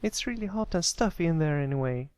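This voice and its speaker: Man putting on a high, sweet filly. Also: lively intonation, a little fast, darkish tone, clean tone, no room sound, close to an everyday voice. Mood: reluctant. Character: sweet, excitable, slightly prim young filly pony.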